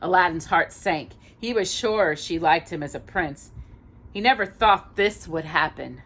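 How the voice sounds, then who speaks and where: A woman reading a children's story aloud expressively, pausing to put questions to a young listener. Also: fairly low pitch, fairly bright tone. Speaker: storyteller and host.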